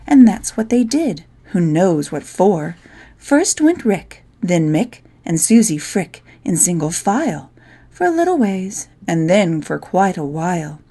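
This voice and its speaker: A woman reads rhyming verse as a storybook narrator, playful and theatrical, with measured phrasing. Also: on the low side, big pitch swings, mellow, breathy edge. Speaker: poetry reader.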